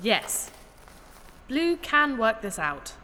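A woman's voice: on the high side, sing-song, drawn-out words, bright, forceful tone, a little hiss or hum, full character voice. A woman playing an eager, naive, childlike female robot who is morbidly curious, in a sci-fi audio drama. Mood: innocent, earnest. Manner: speaks of herself in third person, singsong pleading. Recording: long hall-like reverb.

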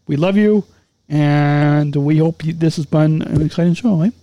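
A man's voice: fairly high pitch, fairly even intonation, moderate pace, no echo, muffled recording. A man co-hosting a casual podcast, signing off with repeated, stumbling, upbeat plugs. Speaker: podcast co-host.

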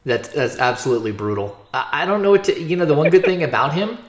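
A man hosting a casual sports interview, asking rambling, halting questions with restarts, recorded in a roomy, echoey space. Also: on the high side, very animated. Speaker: podcast host, sports interviewer.

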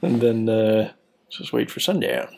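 A man's voice: on the low side, very animated, unhurried, gravelly, a little mellow. A middle-aged man co-hosting a casual podcast chat, wry and sarcastic, laughing often. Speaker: podcast co-host. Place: Portland apartment.

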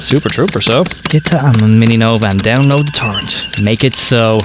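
A man's voice: medium pitch, fairly quick, very noisy, close to an everyday voice. A man playing a nerdy, sarcastic college student who talks like a pompous starship commander in an audio comedy drama. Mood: pompous, self-absorbed. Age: young adult. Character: nerdy sci-fi obsessed male college student, sarcastic and prickly. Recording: music under the voice.

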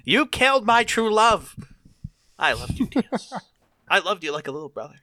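A man's voice: high-pitched, sing-song, moderate pace, bright, forceful tone, voice pushed down, exaggerated voice. A man voicing a grieving young male hedgehog hero, anguished and pleading.

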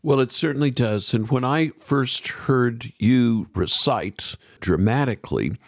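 A man hosting a radio interview podcast, speaking in a measured way with emphatic pauses. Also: mid-range voice, no room sound, studio quality. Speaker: podcast host.

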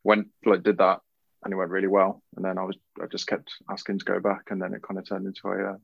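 A man's voice: sits low, fast, vocal fry, slightly rough, no echo. Laid-back man on dull remote audio. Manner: relaxed, rambling, filler-heavy. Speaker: tattoo artist guest. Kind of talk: interview answers.

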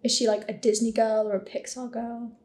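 A woman's voice: fairly high pitch, little pitch movement, brisk, mellow. A woman chatting casually as a podcast panellist. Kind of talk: casual chat.

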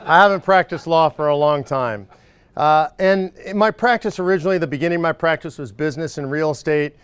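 A man in his forties giving a loud, casual, joking talk to a live audience of supporters. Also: a little high, brisk, clean tone, no room sound. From Northern California. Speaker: speaker addressing supporters. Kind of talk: casual stump speech.

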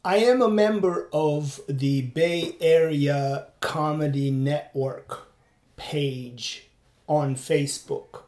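Man from Turkey, podcast host, unhurried, reflective, rambling, echoey sound. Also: fairly high pitch, a little mellow. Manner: rambling, reflective, frequent fillers and restarts. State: running while talking. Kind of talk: casual monologue podcast.

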